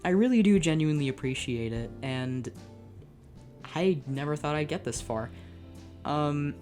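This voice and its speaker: A woman's voice: deep voice, lively intonation, a little slow, mellow, faint music behind. A young woman reading a fan fiction aloud and breaking off into exasperated, sarcastic comments. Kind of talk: dramatic reading with comic asides.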